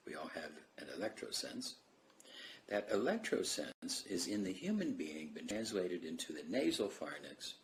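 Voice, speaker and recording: A man's voice: low-pitched, slight background noise. A man presenting an explanatory lecture, laying out his points step by step.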